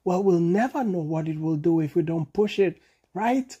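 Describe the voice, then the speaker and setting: A man voicing an excitable, curious young monkey in a children's bedtime story, exclaiming and repeating himself. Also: high voice, normal speed, slightly rough, lo-fi sound. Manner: breathless exclamations, repeating phrases in alarm.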